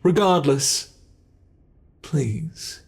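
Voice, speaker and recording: A British man voicing an ancient male monster in an ASMR horror roleplay, speaking with soft, mockingly polite menace. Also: on the high side, expressive, gravelly, exaggerated voice. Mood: teasing. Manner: slow, soft-spoken, teasing menace.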